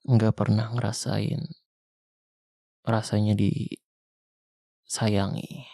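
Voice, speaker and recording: Guy doing a tired ex-soldier survivor, jakarta slang, slow gravelly half-whisper, dark and dry close up. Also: fairly low pitch, flat delivery, no echo, full character voice. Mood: tender. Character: weary male ex-soldier survivor, tender protector. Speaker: ASMR roleplay voice actor. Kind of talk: ASMR boyfriend roleplay. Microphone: close mic.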